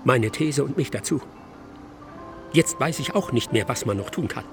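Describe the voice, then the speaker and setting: An actor playing a crushed, self-pitying unemployed academic in a radio drama, aggrieved and wounded. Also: male voice, mid-range voice, animated, normal speed, natural voice. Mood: accusing, bitter. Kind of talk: German radio drama monologue. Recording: music loud behind the voice.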